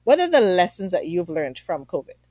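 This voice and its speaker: A woman's voice: on the low side, sing-song, a little fast, a little mellow, dry recording, studio quality. A woman hosting a conversational online interview show, speaking in an effusive, affirming manner. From Trinidad. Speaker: talk show host.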